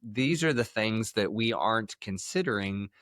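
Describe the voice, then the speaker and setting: A man's voice: on the low side, expressive, moderate pace, fairly bright tone, dry recording, studio quality. An American man hosting a conversational podcast interview, speaking in an emphatic, anecdotal way. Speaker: podcast host, health coach.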